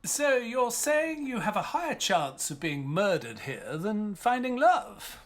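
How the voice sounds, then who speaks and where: An actor playing a good-natured, bumbling doctor sidekick who talks in a hesitant, stammering, jolly way. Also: male voice, high-pitched, sing-song, measured pace, exaggerated voice. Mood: jovial. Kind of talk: audio drama acting. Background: faint piano music.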